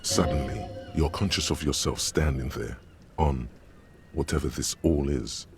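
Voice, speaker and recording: An extremely low, creaking inner voice narrates sensations in a dramatic game. It is slow and ominous, addressing the listener directly. Music sits clearly underneath. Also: male voice, heavily acted.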